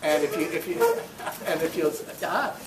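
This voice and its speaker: A man speaking as club president, giving an informal welcome and announcements in a halting, rambling, self-correcting way. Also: a little high. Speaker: club president hosting a meeting. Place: meeting room, recorded from a distance. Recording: roomy, distant mic, faint noise.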